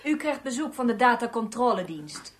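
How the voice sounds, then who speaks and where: A woman's voice: fairly high pitch, big pitch swings, moderate pace. A woman acting an officious, coolly threatening labour-office official in a radio play, formal and curt.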